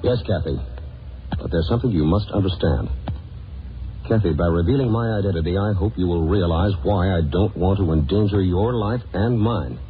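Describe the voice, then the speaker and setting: An actor playing a stern, commanding masked crime fighter and husband in a superhero audio drama, speaking firmly and declaiming. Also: male voice, deep voice, normal speed, faint music behind, lightly acted. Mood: grave. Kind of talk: old-time superhero audio drama acting. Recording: tinny band-limited, noisy old audio.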